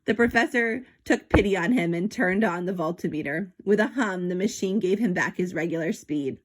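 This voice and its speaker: A woman's voice: lowish voice, normal speed, low-quality recording. A woman reading a children's story aloud expressively, stretching words for emphasis and adding sound effects. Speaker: storybook reader.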